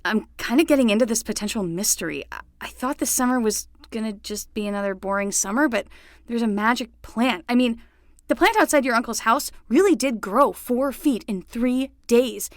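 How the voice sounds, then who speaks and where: A young summer nanny, acted by a woman, chatty and excitable. Her voice sits fairly high and she rambles casually and expressively, in a dry fiction podcast recording. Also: normal speed, dry recording, close to an everyday voice. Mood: excited. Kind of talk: scripted fiction podcast acting.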